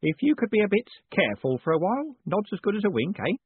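A male narrator plays a timid old lodger-keeper with a high, wavering pitch. He is nervous and deferential, always keen to please, in a storybook reading that sounds narrow, like a phone line. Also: sing-song, fast, breathy edge, dry recording, exaggerated voice. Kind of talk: audiobook character voice.

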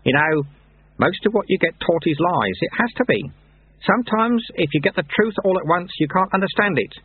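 A man voicing a gruff, wily old monk sweeper in an audiobook story, with folksy, ungrammatical talk and a raised, animated voice. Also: sits high, normal speed, dry recording, phone-quality sound, voice pushed up. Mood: sly, mentoring.

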